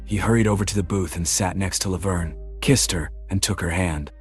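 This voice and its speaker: Brief: male audiobook narrator, lowish voice, measured reading, faint music under. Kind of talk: scripted narration.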